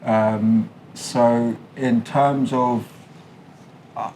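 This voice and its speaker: A man's voice: medium pitch, steady tone, drawn-out words, warm tone, a little hiss or hum, low-quality recording. A man with a London accent talking candidly in an interview, colloquial and explanatory. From London, England. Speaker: interviewee, British expat. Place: a condo apartment.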